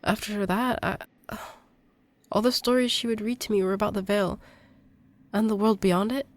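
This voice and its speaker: An actress playing an earnest young female exorcist in an audio drama, halting and emotional. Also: medium pitch, steady tone, no room sound, lightly acted. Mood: somber recollection. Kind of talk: intimate audio drama acting.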